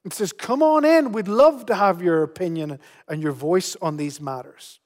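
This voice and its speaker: A middle-aged pastor delivers an earnest sermon, often repeating a word before a phrase gets going. His voice sits fairly high for a man. The recording carries the long reverb of a church hall. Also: normal speed. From Spain. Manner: earnest, repeats words when starting a phrase.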